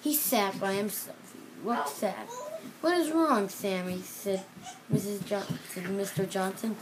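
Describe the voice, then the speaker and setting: Little kid reading a picture book out loud, slow and stumbly, lots of background noise. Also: mid-range voice. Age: child. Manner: halting, repeats and corrects words. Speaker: reader. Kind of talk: reading a children's storybook aloud.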